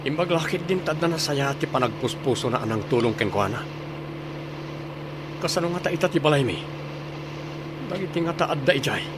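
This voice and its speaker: A man's voice: a little high, expressive, fairly quick, long pauses, noisy background, natural voice. A young man with a Filipino Ilocano accent playing a dutiful, protective son in a radio drama. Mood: determined. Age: young adult. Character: dutiful soldier son, protective of his mother.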